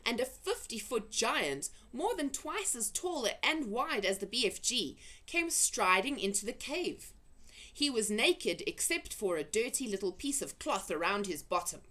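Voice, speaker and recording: A woman reading a children's adventure story aloud as an expressive narrator. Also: a little high, a little slow. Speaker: storybook reader.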